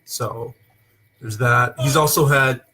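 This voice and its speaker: A young man hosting a casual wrestling talk show, opening with loud hype and then rambling with fillers. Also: medium pitch, takes it slowly, noisy background. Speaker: host. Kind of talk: casual wrestling podcast chat.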